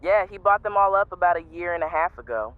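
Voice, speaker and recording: A woman's voice: fairly low pitch, expressive, fast, smooth, no echo. A woman playing an earnest, worried young investigator in an audio drama, hesitant and repeating words, heard over a phone call. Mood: matter-of-fact. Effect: phone call filter. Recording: thin, tinny phone line.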